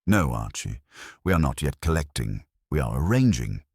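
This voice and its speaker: Guy doing a bossy brainy detective boss in an audiobook, super formal and pompous, low voice, lots of swing. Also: big pitch swings, normal speed, darkish tone, husky, no room sound, exaggerated voice. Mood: measured, commanding. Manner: formal, pompous, precise wording. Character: imperious, eccentric, brainy male master detective. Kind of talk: acted dialogue in a detective audiobook.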